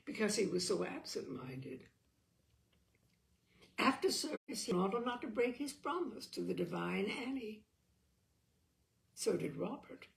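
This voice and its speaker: A woman reading a literary short story aloud as an expressive, theatrical storyteller. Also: fairly high pitch, gravelly. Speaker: storyteller, audiobook reader.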